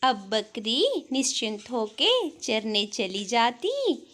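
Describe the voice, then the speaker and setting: A woman tells a scripted story for children in a slightly high voice. She narrates at an extremely slow, measured pace, like a storyteller reading to small kids. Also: very animated, a bit bright.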